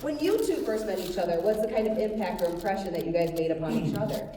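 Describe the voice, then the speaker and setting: A woman's voice: mid-range voice, animated, quick pace. A woman moderating a convention panel, asking questions with enthusiasm and laughing along. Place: large convention hall. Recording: echoey hall from far off, loud crowd and noise.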